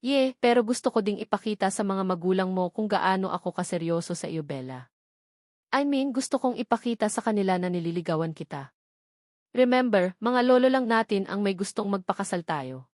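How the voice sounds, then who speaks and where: A woman's voice: mid-range voice, lively intonation, brisk, a little mellow, no echo, studio quality, lightly acted. A woman with a Filipino accent voicing a serious, courteous young rich man courting in a romance story. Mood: earnest. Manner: earnest, courteous. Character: serious, polite young billionaire suitor, male.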